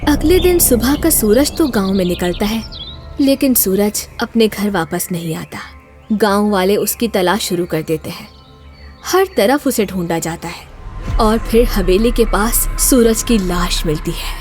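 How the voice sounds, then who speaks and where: A woman's voice: medium pitch, measured pace. A woman narrating a scripted horror story in a dramatic, suspenseful way. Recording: narration over loud background music.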